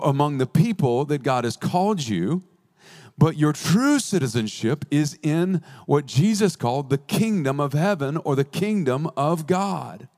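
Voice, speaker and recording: A man preaching a sermon as a pastor, earnest with playful asides, slowing down to stress key phrases. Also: fairly high pitch, a little mellow.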